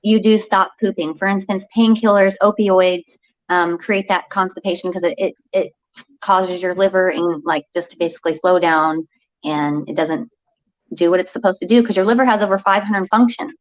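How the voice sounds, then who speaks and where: A woman speaking as a health coach guest, animated and often restarting her sentences. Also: on the low side, normal speed, highs cut off. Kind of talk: casual health talk show chat.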